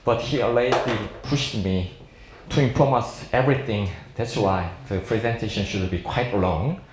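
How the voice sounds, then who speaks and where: Man in his forties, Korean accent, roomy echo, lowish voice, halting jovial English, informal medical seminar presentation. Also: background noise. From South Korea. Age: 40s. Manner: halting, jovial non-fluent English with frequent chuckles. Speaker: plastic surgeon lecturing at a medical seminar. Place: indoor seminar room. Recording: echoey seminar room.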